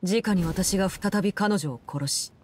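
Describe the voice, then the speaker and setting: An actress playing a cold, commanding woman in an anime game, speaking in a measured, formal, detached way. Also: medium pitch, a little mellow, natural voice. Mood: calm, resolute. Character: cold, composed, commanding female Fatui Harbinger in her prime. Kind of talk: Japanese anime game voice acting, solemn storytelling.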